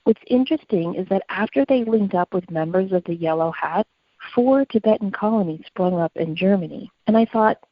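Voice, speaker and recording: A woman's voice: medium pitch, moderate pace, dry recording, lo-fi sound. A woman co-hosting a podcast, narrating from prepared notes in an explanatory, storytelling way. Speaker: podcast co-host.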